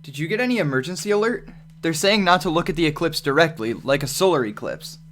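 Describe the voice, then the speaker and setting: A man as an animated, puzzled sardonic homebody. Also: a little high, fast. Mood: hesitant, casual. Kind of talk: amateur radio drama read-through.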